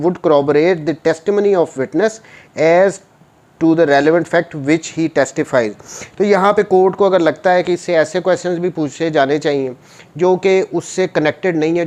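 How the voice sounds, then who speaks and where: A man's voice: fairly high pitch, a little mellow. A man with a Pakistani accent lecturing on written rules, explaining them in a didactic, informal way and rephrasing points simply. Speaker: law lecturer.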